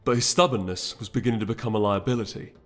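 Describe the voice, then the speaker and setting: A man's voice: fairly low pitch, quick pace, fairly bright tone. A man narrating fantasy fiction from a script, as an audiobook reader. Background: music.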